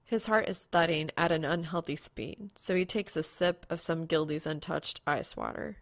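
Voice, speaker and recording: A woman's voice: deep voice, moderate pace, gravelly, no room sound. A woman reading fan fiction aloud as a scripted story narrator. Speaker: podfic narrator.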